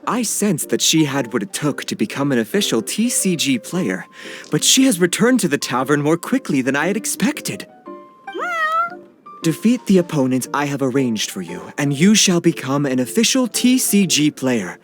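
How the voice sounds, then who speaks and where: A stately cat presiding over duels in an anime game. The voice sits fairly high for a male, with lively intonation. The speech is formal and commanding, with music clearly behind it. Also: moderate pace. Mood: composed, commanding. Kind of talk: anime video game dub.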